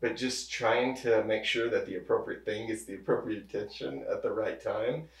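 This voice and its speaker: Low-voiced man in a roomy echo. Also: normal speed. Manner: relaxed, rambling with many restarts. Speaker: pharmacist guest. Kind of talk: casual interview. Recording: roomy echo, distant mic.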